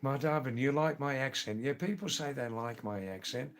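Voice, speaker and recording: An older man with an Anglo-Indian accent hosts a relaxed live stream and answers viewers one by one. His talk rambles along, full of ums, restarts and friendly asides. His pitch sits in an ordinary middle range. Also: moderate pace, faint music behind. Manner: rambling, chatty, many fillers and restarts. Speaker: livestream host. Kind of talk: casual live-stream chat reading viewer comments.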